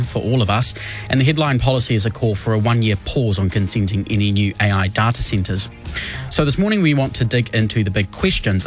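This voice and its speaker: A young man with a New Zealand accent guest-hosting a morning radio show in a studio, chatty and relaxed as he runs through the hour ahead. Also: lowish voice. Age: young adult. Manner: relaxed, chatty, previews segments smoothly.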